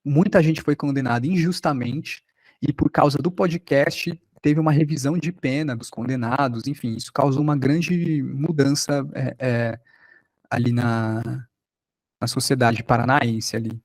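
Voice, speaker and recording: A man's voice: medium pitch, a little slow, a little mellow, no room sound. A man with a Brazilian accent giving an informal online lecture as a workshop instructor.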